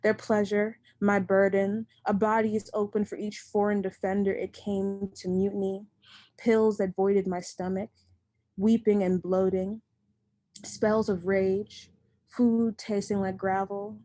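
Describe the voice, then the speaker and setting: A young woman reads her poetry at home over a computer mic, her voice sitting at an ordinary middle pitch. She recites slowly and deliberately and gives each line its weight. Between poems she drops into loose, chatty remarks. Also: little pitch movement, warm tone, dry recording. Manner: slow, measured, deliberate recitation. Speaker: poet and host of the reading. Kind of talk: poetry reading.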